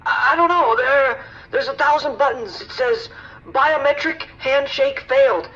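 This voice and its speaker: An actor playing a panicked, helpless airline passenger in an audio drama, stammering and alarmed. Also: male voice, very high voice, unhurried, bright, forceful tone, exaggerated voice. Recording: tinny lo-fi cockpit radio call.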